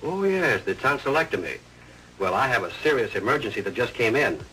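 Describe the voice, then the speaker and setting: An animated surgeon, genial and professional, at a middle pitch. The delivery is old sitcom style, with faint noise behind it. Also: male voice, fairly quick, poor audio. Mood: businesslike. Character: genial, busy male hospital surgeon. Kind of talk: situation comedy acting.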